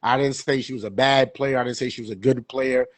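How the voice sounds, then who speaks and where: A man's voice: medium pitch, fairly even intonation, a little fast, dry recording. A young man hosting a podcast, chatting casually about sports, stuffy with a cold and rambling with many fillers and repeats. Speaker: podcast co-host.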